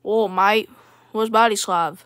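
Woman putting on a brash, bright-voiced Aussie bloke. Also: mid-range voice, steady tone, takes it slowly, clear, resonant tone. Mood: boastful, matey. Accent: Australian, put on. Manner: brash, matey, repetitive slangy phrasing. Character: Australia as a cheerful, knife-happy Aussie bloke. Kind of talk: improvised comedy character skit.

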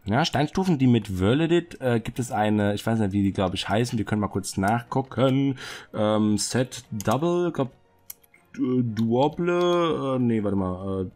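Excitable young man with a cold. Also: mid-range voice. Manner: excited, fidgety, rambling with many fillers. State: has a cold, clears throat. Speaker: Minecraft server builder showing a city. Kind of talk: casual gameplay commentary. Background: soft background music.

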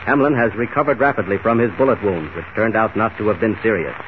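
Dramatic 1940s radio announcer, tinny old recording. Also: male voice, fairly low pitch, fast talker, noisy background. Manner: dramatic, declamatory.

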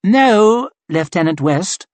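A man's voice: high voice, expressive, slow pace, dry recording, pitched above the performer's own voice. A man voicing an arrogant, mocking cavalry colonel with a sneering, theatrical delivery.

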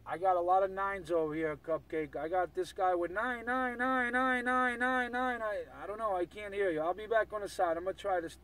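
A man's voice: sits high, steady tone, brisk, hardly any pauses. A man making casual CB radio chatter in a slangy, repetitive way. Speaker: CB radio operator. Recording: noisy CB radio, heard from afar.

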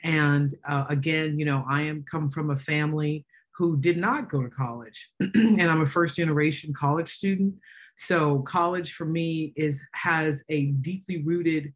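A woman college vice president giving earnest welcome remarks over a video call, speaking with pauses. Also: low voice.

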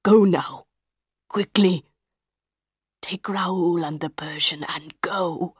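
A woman voicing an ugly, tormented, lovesick man in an audiobook, in a slow, hushed, breathy whisper, menacing yet pleading. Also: on the low side, fairly even intonation, no room sound, clean studio sound, full character voice. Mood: broken, tearful wonder. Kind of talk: audiobook character voice.

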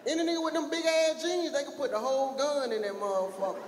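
Young male stand-up, high-voiced and loud, crude crowd work on a handheld mic, echoey theater with faint audience noise. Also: fairly even intonation, fast. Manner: brash, profane, shouting at the crowd. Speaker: stand-up comedian. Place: large theater stage with an audience.

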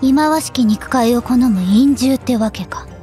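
A woman playing a tough, deadpan schoolgirl gang delinquent who talks in pompous, menacing slang in an anime audio drama. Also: on the high side, moderate pace, over loud music, natural voice.

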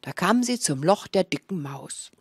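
An older woman storyteller, telling a fairy tale live in a theatrical, expressive manner into a handheld microphone. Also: lowish voice, moderate pace, breathy edge. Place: small indoor café room with a seated audience. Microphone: handheld microphone held close.

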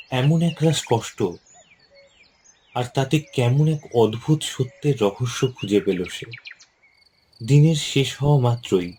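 Slow, suspenseful male narrator. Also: medium pitch, warm tone, a little hiss or hum. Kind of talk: scripted storytelling narration.

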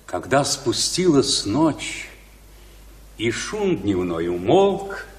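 An actor playing a grave, wary village shepherd in a radio play, speaking in a measured, poetic, theatrical way. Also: male voice, mid-range voice, very animated. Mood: solemn. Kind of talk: classic Russian radio play acting. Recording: distant, very reverberant radio drama with music.

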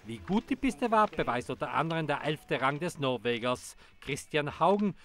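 A male sports reporter narrates a ski race report in a formal, measured voice-over. His voice sits a little higher than most men's. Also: animated, fairly bright tone. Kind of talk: scripted news narration.

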